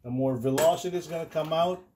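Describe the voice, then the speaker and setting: A man's voice: fairly high pitch, a little mellow, a little hiss or hum. A man whose first language is Tagalog, hosting a casual explainer video, talking hesitantly with many fillers and restarts. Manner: slow, deliberate. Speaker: channel host.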